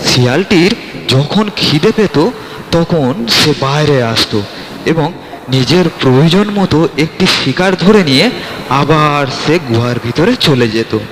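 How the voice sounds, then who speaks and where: Slow-paced male storyteller in long reverb. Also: on the high side. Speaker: storyteller, narrator. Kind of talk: scripted fairy-tale narration. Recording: long reverb, faint background noise.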